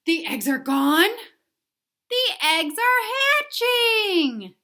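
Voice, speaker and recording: A woman voicing a small, helpful, cheerful pig from a storybook, announcing things in a deliberate sing-song. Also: very high voice, very animated, pushed and bright, voice pushed up, exaggerated voice.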